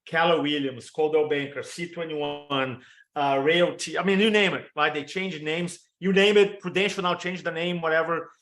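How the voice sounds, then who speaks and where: A fairly high-voiced man in his fifties with a Brazilian Portuguese accent, coaching an audience on a webinar. He talks with energy and rattles off lists of examples. The sound comes through a video call. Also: fairly even intonation, normal speed, fairly bright tone. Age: 50s. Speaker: motivational speaker and storytelling coach. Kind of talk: training talk on a webinar.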